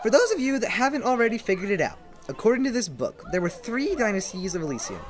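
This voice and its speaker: A woman's voice: medium pitch, very animated, fast talker, natural voice. A woman playing a showy, wily male advocate in an audio drama, theatrical and grandiose. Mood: confident. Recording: music bed behind the voice.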